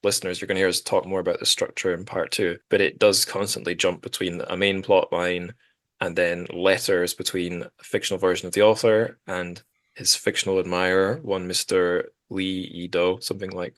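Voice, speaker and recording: A man's voice: sits low, level tone, moderate pace, fairly bright tone, no room sound. A man hosting a podcast, talking casually about books in a rambling, self-correcting way. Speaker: podcast host. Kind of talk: casual literary discussion.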